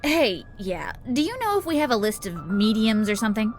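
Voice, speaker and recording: A woman's voice: on the high side, very animated, normal speed, soft background music. A woman playing a cheeky, snide young agency underling in a visual novel game, casual and sly.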